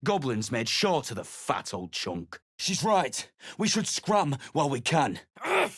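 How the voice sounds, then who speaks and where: An actor playing a surly, battle-worn mercenary adventurer in a video game, speaking in a gruff, blunt, dismissive way. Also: male voice, medium pitch, huge pitch swings, unhurried, a bit bright, dry recording, clean studio sound, exaggerated voice.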